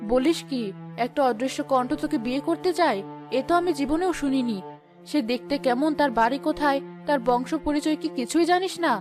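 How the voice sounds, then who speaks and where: A woman voicing a worried, cautious middle-aged mother in an animated fairy tale, giving concerned advice to her daughter. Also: high voice, brisk, over music, voice pushed down, natural voice. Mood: astonished. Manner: concerned, advising.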